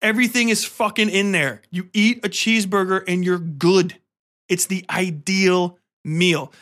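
A man's voice: high-pitched, fairly even intonation, unhurried, fairly bright tone. American man ranting casually, profane and exasperated. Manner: slangy, conversational. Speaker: podcast host. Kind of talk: casual comedic rant.

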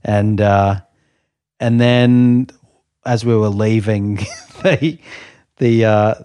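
A man's voice: on the low side, fairly even intonation, drawn-out words, dark tone, clean tone, no echo. A man telling a story in casual chat, amused, laughing often and restarting his sentences.

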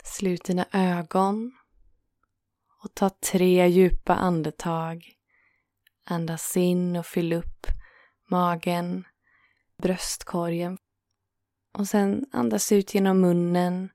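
Calm lady doing a guided meditation, soothing and pretty monotone, really dry close sound. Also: on the low side, no echo. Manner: calm, soothing, unhurried with gentle pauses. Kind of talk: guided yoga nidra relaxation.

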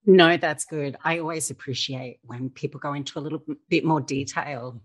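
A woman's voice: deep voice, fairly even intonation, normal speed. A woman interviewer asking thoughtful questions and responding to a guest's answers.

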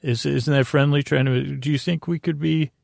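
A man with a creaky, gravelly voice, hosting a bedtime podcast in a gentle, friendly, halting ramble full of stammered repeats and tangents meant to lull listeners to sleep. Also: on the high side, fairly even intonation, dry recording. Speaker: podcast host. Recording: close dry voice over faint music.

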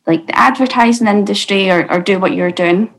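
A woman with an average-pitched voice works as an interviewer. She speaks casually and conversationally, as in an informal chat with a guest. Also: a little fast.

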